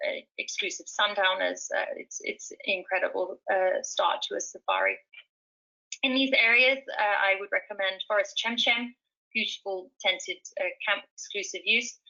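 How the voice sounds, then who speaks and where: Woman talking through travel stuff, bright voice, lots of ums, kinda muffled lo-fi audio. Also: medium pitch, vocal fry. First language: speaks fluent Swahili. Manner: enthusiastic, many fillers and restarts. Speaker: safari travel presenter. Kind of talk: webinar presentation.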